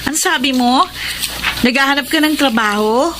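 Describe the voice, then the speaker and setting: A woman's voice: sits high, moderate pace, background noise, close to an everyday voice. An actress playing Melba, a chatty and helpful young woman, in a radio drama. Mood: friendly.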